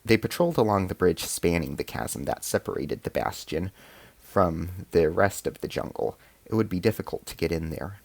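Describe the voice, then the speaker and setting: Gravelly low-voiced man as an alien rebel soldier. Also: lively intonation, normal speed, darkish tone, no echo, heavily acted. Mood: steady, tactical. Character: male alien rebel soldier, grave, determined squad chief. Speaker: narrator and lead character of an audio drama serial. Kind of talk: first-person scripted sci-fi narration.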